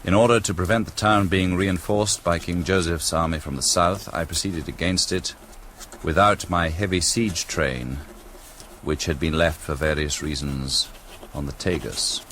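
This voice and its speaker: An actor in a radio drama playing a grave British army commander, formally dictating a dispatch in a measured, stiff manner. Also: male voice, sits low, fairly even intonation, a bit bright, a little hiss or hum. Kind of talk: BBC radio drama acting.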